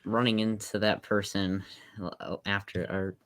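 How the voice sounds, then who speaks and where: A man co-hosting a casual chat, speaking earnestly and haltingly with many fillers. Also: on the low side, little pitch movement, fairly bright tone.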